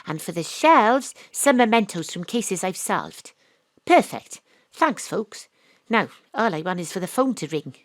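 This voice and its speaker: A woman's voice: medium pitch, sing-song, measured pace, dry recording, studio quality. An actress playing a brash, wry Welsh private detective with a Welsh accent, narrating her own story. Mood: cheerful. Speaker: narrator and lead.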